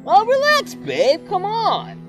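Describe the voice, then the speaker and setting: A woman's voice: very high-pitched, huge pitch swings, slow, music in the background, voice pushed up, exaggerated voice. A storyteller voicing a cocky teenage boy who brushes off every worry in a swaggering, dismissive way.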